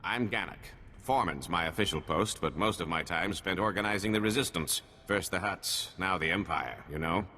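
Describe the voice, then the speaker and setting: A man's voice: low voice, moderate pace, few pauses, bright, forceful tone, long reverb tail. An actor playing an earnest, weary foreman who leads a resistance in a video game, in a businesslike, urgent manner. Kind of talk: scripted video game cutscene acting.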